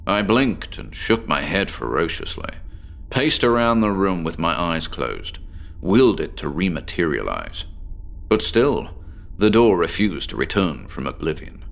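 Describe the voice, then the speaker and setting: An eccentric male professor, sleepless and obsessive, in a horror audio drama. The voice sits low. He confides his monologue with measured, articulate formality, choosing each phrase deliberately. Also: gravelly, a bit bright, full character voice. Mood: unsettled, grave.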